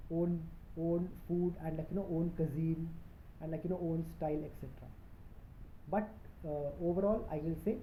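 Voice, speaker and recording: A man's voice: fairly high pitch, mellow, a little hiss or hum, rough recording. A young man from India, raised in Indonesia, talking casually to camera as a travel vlogger. He rambles, repeats points and fills gaps with "like, you know". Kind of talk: casual to-camera vlog talk.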